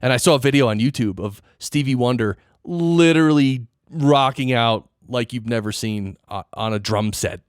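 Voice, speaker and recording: A man's voice: mid-range voice, moderate pace, few pauses, smooth, no room sound, clean studio sound. A man hosting a podcast, chatting casually with his guest in an interview. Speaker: podcast host.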